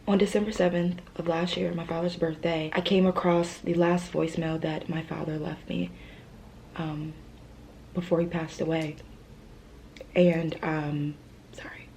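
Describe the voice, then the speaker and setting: A young woman talks in a low, mellow voice, soft and hesitant, often sinking to a whisper. She sounds grieving and close to tears, with pauses and fillers running through her speech. Faint noise hums in the background. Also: flat delivery, vocal fry. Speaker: vlogger. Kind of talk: emotional personal vlog.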